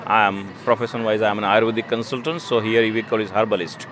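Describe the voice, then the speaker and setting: A man's voice: on the low side, flat delivery, quick pace, background noise. A man, a Gujarati speaker, answers interview questions outdoors. He is an eager community radio presenter who repeats words while searching for phrasing. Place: outdoor community event in a town square.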